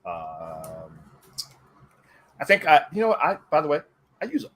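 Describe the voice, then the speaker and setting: A man's voice: fairly high pitch, huge pitch swings, a little slow, fairly bright tone, breathy edge. A man chatting casually as a panelist on a movie talk show, speaking in an enthusiastic, digressive way. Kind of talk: casual rambling chat.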